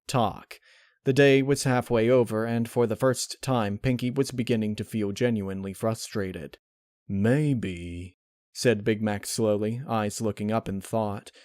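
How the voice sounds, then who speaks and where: A man's voice: medium pitch, a little mellow, no room sound. Man narrating a story, dry close sound. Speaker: narrator reading fan fiction.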